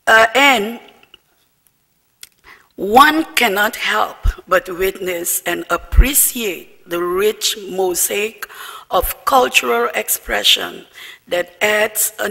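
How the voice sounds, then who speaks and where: A man with a Dutch accent, a diplomat giving a formal after-dinner speech and toast, measured and with asides to the audience. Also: sits high, vocal fry, pushed and bright. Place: large banquet hall. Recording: long hall reverb, faint music.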